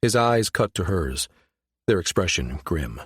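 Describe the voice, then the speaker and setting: A man's voice: deep voice, very animated, a little slow, husky, no echo, studio quality. A man reading a science fiction audiobook as scripted narration.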